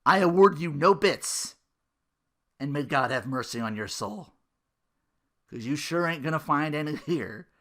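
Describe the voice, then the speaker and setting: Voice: mid-range voice, lively intonation, unhurried, long pauses, a bit bright. A podcast host in their thirties on a casual comedic rant, sarcastic and profane, sometimes slipping into mocking quotes. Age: 30s.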